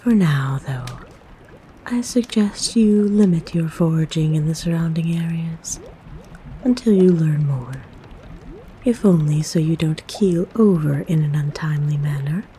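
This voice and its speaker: A woman playing a kind, flirtatious forest witch in a soft, breathy, gently coaxing ASMR roleplay. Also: low voice, a little slow. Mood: calm, confiding.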